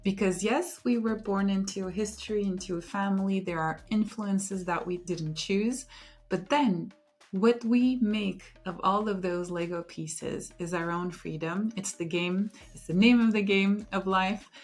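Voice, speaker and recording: A woman hosting her own podcast, introducing it in an earnest, unhurried way with thoughtful pauses. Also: mid-range voice, darkish tone. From Luxembourg. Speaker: podcast host. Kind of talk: reflective solo podcast intro.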